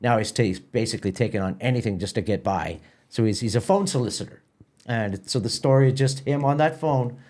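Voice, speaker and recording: A man's voice: mid-range voice, brisk, darkish tone. A man chatting as an interview guest about his work, often repeating words as he goes. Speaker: guest, theatre festival organizer. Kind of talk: casual podcast interview.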